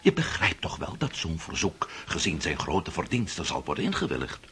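An actor playing a scheming, impatient older prince in a radio drama, coaxing and condescending with a rough, hoarse voice. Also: male voice, extremely low pitch, huge pitch swings, normal speed, a bit bright, slight background noise, heavily acted. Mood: impatient, insistent.

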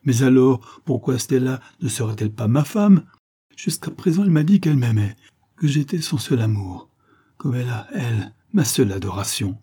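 A man's voice: mid-range voice, normal speed, few pauses, mellow, breathy edge, close to an everyday voice. A man voicing an ardent, boyish young man in love, exclamatory and insistent, in a storybook reading. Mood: anguished insistence.

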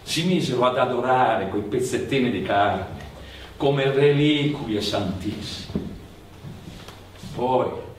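A man's voice: mid-range voice, takes it slowly, long pauses. Very echoey male stage storyteller, far off. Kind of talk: theatrical narrative monologue. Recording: very echoey, distant, faint noise.

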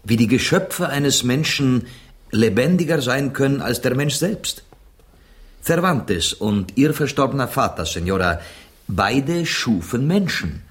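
An actor in a radio drama playing a courteous, curious Spanish gentleman who speaks in polite, ornate phrases and slips into Spanish. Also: medium pitch, lively intonation, a little slow, close to an everyday voice. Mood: courteous, musing.

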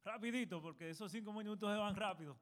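A man with a notably high speaking voice addresses a church audience as a pastor and lawyer. He talks earnestly, often pausing on fillers, and calls his listeners brothers. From United States. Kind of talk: church panel talk.

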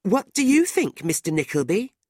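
A narrator's high, swooping, spirited young woman. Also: sing-song, moderate pace, a little mellow, dry recording, clean studio sound. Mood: coy.

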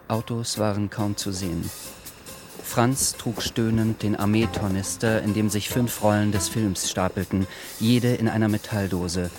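A man narrating a literary radio play as a sober, reflective storyteller, in a measured manner. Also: lowish voice, close to an everyday voice. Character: sober, reflective male storyteller of a wartime novel.

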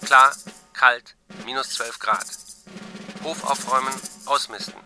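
An actor playing a terse diarist who reads entries in clipped, telegraphic bursts in a radio play. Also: male voice, medium pitch, steady tone, unhurried, bright, forceful tone. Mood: brisk, clipped. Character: terse male diarist noting weather and chores. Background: percussive music.

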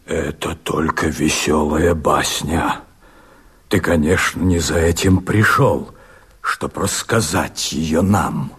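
Gruff doubting rebel in a radio drama, super low and gravelly-hoarse, takes his time. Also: fairly even intonation, slow, a bit bright, exaggerated voice. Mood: sceptical. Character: gruff, sceptical male rebel comrade. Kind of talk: declaimed verse in a radio play.

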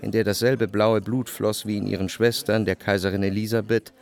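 An actor playing an observant salon guest who narrates in the first person in a literary radio drama. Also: male voice, fairly low pitch, flat delivery, a little fast, gravelly. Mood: observant, composed.

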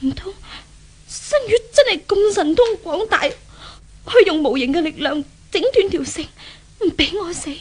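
A high-voiced, anguished young lady. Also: expressive, moderate pace. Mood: bewildered, distressed. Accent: Hong Kong Cantonese. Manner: theatrical soliloquy, exclaiming and lamenting. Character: anguished, suicidal young rich lady haunted by a ghost. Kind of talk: 1970s Hong Kong radio drama acting. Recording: old 1970s radio drama with background music.

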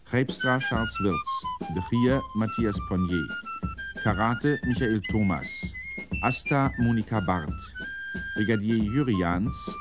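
A man's voice: mid-range voice, huge pitch swings, takes it slowly. A man formally reading the title and cast credits of a radio drama as its announcer. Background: clear music, flute.

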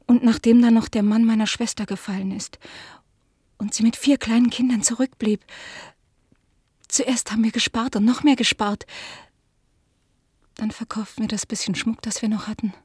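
An actress playing an ashamed, pleading middle-aged daughter in a radio drama, confessing in a hushed voice. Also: female voice, fairly high pitch, steady tone, moderate pace, long pauses, breathy edge, dry recording, studio quality. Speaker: the collector's daughter.

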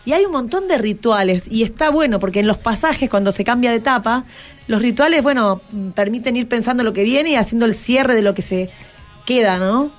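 A woman's voice: fairly high pitch, animated, fairly quick, soft background music. A woman with an Argentine accent hosting a radio show, chatting in an encouraging way and asking schoolchildren lots of questions. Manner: encouraging, asks many questions. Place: radio studio.

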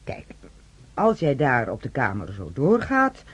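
A stern head nurse from a radio drama. Her husky voice moves very expressively up and down as she reproves firmly, with some concern underneath. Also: a bass voice, huge pitch swings, unhurried, muffled recording, exaggerated voice. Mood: stern, reproving. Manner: stern, admonishing. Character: firm, strict but concerned female head nurse. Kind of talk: radio play acting.

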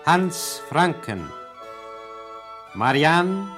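A man's voice: fairly high pitch, very animated, extremely slow. A man with standard German speech reading formal scripted cast credits as a radio announcer. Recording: announcement over prominent music.

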